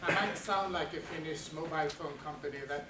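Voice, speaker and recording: A man with a slightly higher voice moderates a seminar and keeps the tone light. He sounds far from the mic in an echoey room. Loud noise sits behind him. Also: fairly even intonation, brisk. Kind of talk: moderating a round of introductions.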